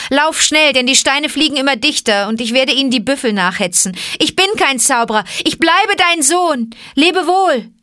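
A woman's voice: high voice, a bit bright, no room sound, studio quality, pitched above the performer's own voice. A woman voicing a proud, defiant young boy raised by wolves in a storybook reading, calling out urgently.

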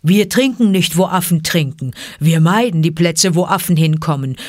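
A woman's voice: lowish voice, normal speed, a little mellow, dry recording, studio quality, natural voice. A woman voicing an old male bear who teaches the jungle laws, stern, emphatic and lecturing. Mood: stern lecture.

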